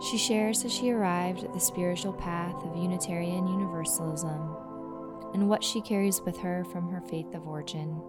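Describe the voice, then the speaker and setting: A woman's voice: fairly low pitch, steady tone, normal speed, loud music behind the voice. A young adult woman hosting a podcast, talking in a chatty way with fillers and laughter. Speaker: podcast host. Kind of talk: conversational podcast interview.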